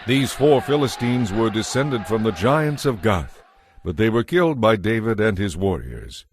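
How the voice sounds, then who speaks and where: Man, scripture narrator, low voice, animated delivery, clear background ambient noise. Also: moderate pace. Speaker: Bible narrator.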